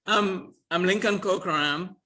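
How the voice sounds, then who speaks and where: An older man, a podcast guest telling his life story in an animated way, chuckling and repeating words as he goes. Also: high-pitched. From Trinidad and Tobago. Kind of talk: casual storytelling interview.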